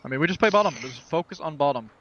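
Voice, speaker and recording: A man's voice: a little high, animated, rapid-fire, fairly bright tone, highs cut off. A man playing competitively and directing his teammates in tactical game comms, repeating his callouts. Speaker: esports player calling plays for the team. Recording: background noise, game sound.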